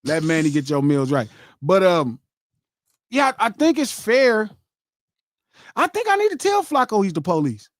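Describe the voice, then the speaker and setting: A man with a Los Angeles accent talks in an animated podcast chat. His voice sits high for a man and swings widely in pitch. The sound is dry and close. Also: normal speed, pauses often, dry recording. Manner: animated, swears freely, acts out quotes. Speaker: podcast host. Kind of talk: casual chat.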